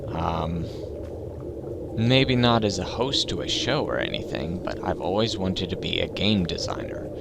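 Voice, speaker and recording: A man in his twenties with a deep, slightly crackly voice, talking slowly and thoughtfully in a hesitant personal monologue. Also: expressive, vocal fry. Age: 20s. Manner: slow, thoughtful, hesitant with fillers. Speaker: gaming channel host. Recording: loud background noise.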